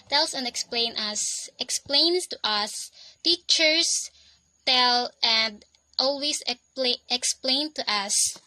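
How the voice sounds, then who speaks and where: A woman's voice: fairly high pitch, pushed and bright, no echo, rough recording. A young woman with a Filipino accent, whose first language is Filipino, presents a lesson on teaching in an earnest way, often restarting and correcting herself mid-sentence. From Philippines.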